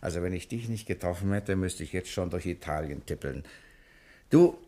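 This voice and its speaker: A jaunty fugitive adventurer in a 1960s radio play. His fairly low voice swings playfully in pitch, breezy and self-mocking. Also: lively intonation, normal speed, mellow. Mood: amused, mischievous. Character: jaunty adventurous fugitive hiding with old friend.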